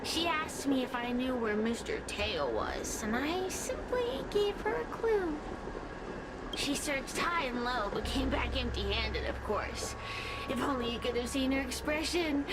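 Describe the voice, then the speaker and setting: A woman playing a sly, gleefully cruel spirit villain in a video game, taunting theatrically with breathy dips into whispers. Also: on the high side, very animated, measured pace, heavy background noise. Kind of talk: video game cutscene acting.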